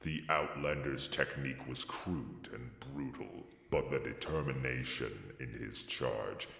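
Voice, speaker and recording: Extremely deep, gravelly ancient chronicler. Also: male voice, a little slow, a bit bright, exaggerated voice. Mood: solemn. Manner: grave, ceremonious. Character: solemn ancient male chronicler of a warrior people. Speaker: in-game codex narrator. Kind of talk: scripted dramatic narration. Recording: cavernous reverberant game narration.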